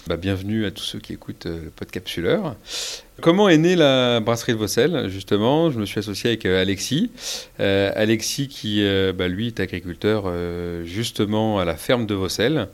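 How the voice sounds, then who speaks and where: A man's voice: on the low side, expressive, normal speed. A man from Paris, a brewery co-founder, answering interview questions casually with many fillers and restarts.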